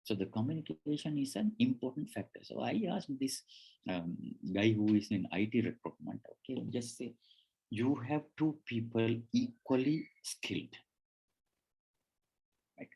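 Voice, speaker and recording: A man's voice: mid-range voice, expressive, unhurried, gravelly, dark tone, husky, rough recording. A man who learned English as a third language, presenting on job interviews in a conversational way with frequent restarts. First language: not English. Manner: conversational, restarts often, acts out quoted dialogue.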